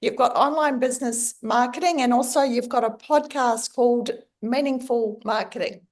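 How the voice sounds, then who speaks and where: A woman's voice: a little high, normal speed, darkish tone. A woman hosting an interview podcast, asking her guest questions in an encouraging way. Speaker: podcast host and coach. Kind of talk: interview host, scripted intro.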